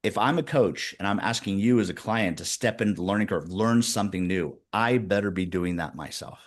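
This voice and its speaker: A man in his fifties, a guest executive coach, telling stories in a casual interview with lively, shifting pacing. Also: on the low side. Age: 50s. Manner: animated storyteller, speeds up and slows down.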